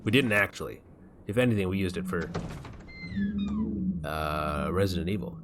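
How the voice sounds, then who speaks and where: Laid-back low-voiced male streamer. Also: noisy background. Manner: laid-back, joking, reads game text aloud haltingly. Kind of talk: casual gameplay chat. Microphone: desk mic.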